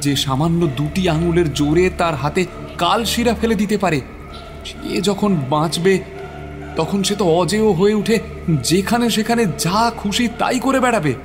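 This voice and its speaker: A man's voice: high-pitched, measured pace, over music. A man narrating a horror story in a theatrical, suspenseful style.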